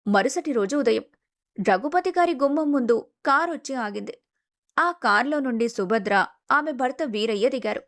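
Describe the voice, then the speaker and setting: Lady with a pretty high voice narrating a scripted family drama story.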